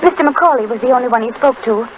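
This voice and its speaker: Actress as high-voiced anxious young woman. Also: rapid-fire, close to an everyday voice. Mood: worried, pleading. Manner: earnest, polite pleading. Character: anxious, earnest young society girl seeking her missing father. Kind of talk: 1930s radio acting. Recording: dull, noisy 1930s radio broadcast.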